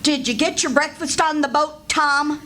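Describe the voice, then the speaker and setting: A woman playing an excitable, doting country aunt, gushing and exclaiming in a radio play. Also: high voice, moderate pace, a little hiss or hum. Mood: overjoyed. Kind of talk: old-time radio theatre acting.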